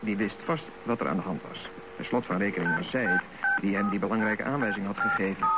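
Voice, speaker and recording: A man narrating a scripted radio drama in a measured storytelling way. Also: lowish voice. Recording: noisy radio recording.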